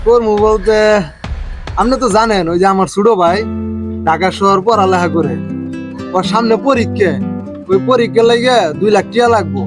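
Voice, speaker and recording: Male actor as earnest elder brother, rural Bangladeshi accent, high-pitched, humble, drama dialogue over music. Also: lightly acted. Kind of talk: naturalistic Bengali TV drama acting.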